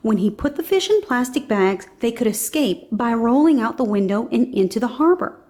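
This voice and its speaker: A woman's voice: on the high side, expressive, normal speed, a little mellow. A woman reading a children's storybook aloud as scripted narration.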